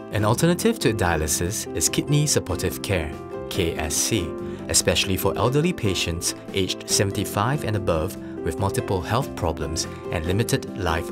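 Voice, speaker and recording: A man narrating scripted health information in a calm, even, explanatory way. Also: deep voice. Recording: voice-over with loud background music.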